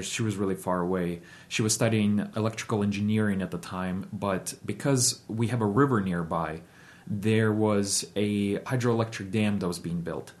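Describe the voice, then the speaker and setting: A man in his thirties, from Ukraine, telling an interviewer about his childhood in a steady, reflective way with frequent ums and uhs. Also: lowish voice. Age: 30s. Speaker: guest. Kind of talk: interview storytelling.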